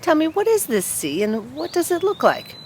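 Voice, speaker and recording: A woman's voice: high voice, wildly swooping pitch, normal speed, a little mellow, a little hiss or hum, pitched above the performer's own voice. A woman voicing an eager, restless young fir tree in a children's storybook, full of wistful questions and exclamations. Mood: curious, longing.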